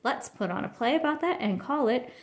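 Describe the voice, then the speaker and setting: A woman's voice: high-pitched, lively intonation, brisk. A woman voicing an earnest young boy bear cub in a children's storybook. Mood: eager. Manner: earnest, protesting.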